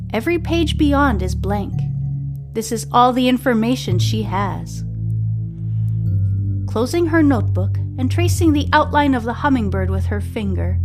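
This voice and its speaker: A woman reading a scripted serial story aloud as a podcast host, with a measured, deliberate storytelling delivery. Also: a little high. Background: music.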